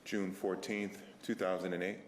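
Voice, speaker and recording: A man's voice: low-pitched, level tone, moderate pace, a little hiss or hum. A man testifying as a witness in court, giving brief, clipped, matter-of-fact factual answers.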